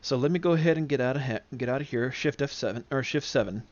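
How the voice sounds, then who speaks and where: A man giving an informal software demonstration, explaining things step by step. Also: medium pitch, quick pace, highs cut off. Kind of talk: casual software tutorial. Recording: clear background noise of simulator ambience. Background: flight simulator background sounds.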